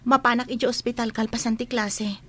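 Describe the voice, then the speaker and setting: A woman's voice: on the high side, steady tone, brisk. An actress playing an earnest, devoted young student girl in a radio drama. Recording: soft music under the voice.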